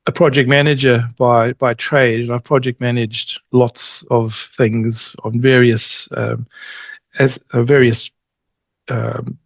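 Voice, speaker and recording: Dull-sounding, halting man. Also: medium pitch, little pitch movement, creaky, dry recording. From born in Zimbabwe, grew up in South Africa, long settled in New Zealand. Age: middle-aged. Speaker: interview guest, company representative. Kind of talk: casual interview talk.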